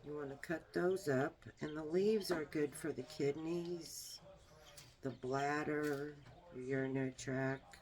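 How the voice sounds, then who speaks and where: A woman with a small, soft voice, demonstrating a herbal remedy in a homey, unhurried way while she works. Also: low voice. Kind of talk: casual how-to demonstration.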